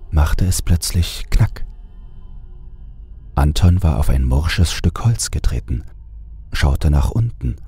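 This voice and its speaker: Male creepypasta narrator: extremely low, dark voice, suspenseful scripted read over music. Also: breathy edge. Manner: measured, suspenseful. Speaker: horror story narrator.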